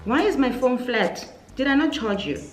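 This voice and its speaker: A woman's voice: high-pitched, expressive, normal speed. A South African woman aged twenty-nine chatting casually and informally in a question-and-answer vlog, thinking aloud as she goes. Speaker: YouTuber, vlogger. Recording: roomy echo, faint music underneath.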